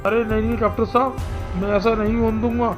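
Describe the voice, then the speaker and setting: A performer voicing an earnest, protective male village headman in an animated Hindi story, speaking emphatically. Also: medium pitch, quick pace, lightly acted. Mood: earnest, resolute. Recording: music behind the voice.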